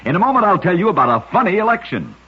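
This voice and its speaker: A man narrating short scripted stories for radio in a measured, dramatic storyteller style. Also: sits high, big pitch swings, slightly rough. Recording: muffled vintage-style radio recording.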